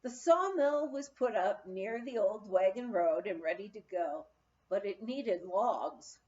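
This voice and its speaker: A woman reading a memoir aloud as scripted narration, in a measured storytelling manner with deliberate pauses. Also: mid-range voice, animated, a little mellow, lo-fi sound. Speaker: audiobook reader.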